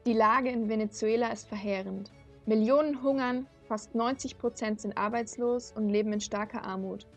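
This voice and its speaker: Young female reporter, higher voice with strong fry, scripted reportage over faint music. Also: fairly even intonation, normal speed. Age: young adult. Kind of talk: scripted radio reportage narration.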